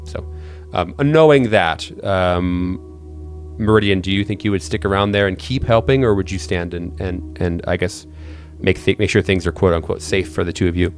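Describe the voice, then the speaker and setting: Low-voiced man running a tabletop game. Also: faint music behind. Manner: halting, repeats words while thinking aloud. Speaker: game master. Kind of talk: improvised narration of a tabletop horror game.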